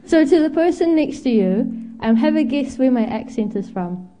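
A woman's voice: high-pitched, animated, moderate pace, music in the background, low-quality recording. A young woman from Zimbabwe preaching a candid, storytelling sermon at a church, with frequent ums and self-deprecating asides. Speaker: guest preacher.

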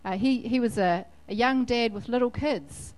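A woman's voice: fairly high pitch, moderate pace, a little mellow. A woman leading a church service, who chats in a friendly way with a guest and leads a prayer.

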